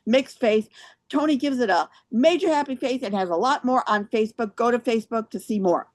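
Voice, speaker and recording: A woman's voice: fairly high pitch, fairly quick, darkish tone, no room sound, clean studio sound. A woman hosting a theater show, reading scripted capsule reviews aloud.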